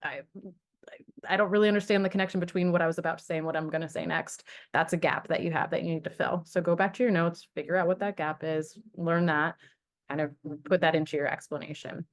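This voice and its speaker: A woman's voice: lowish voice, steady tone, fast talker. Chatty woman presenting study tips. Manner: chatty, self-correcting, encouraging. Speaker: webinar presenter on study skills. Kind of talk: casual presentation with slides.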